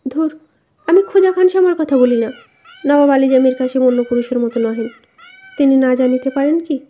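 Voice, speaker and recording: A woman's voice: high-pitched, moderate pace, dark tone. A woman reading an imperious, secretive young harem noblewoman in an audiobook, brief and insistent. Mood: determined. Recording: dull, lo-fi voice recording.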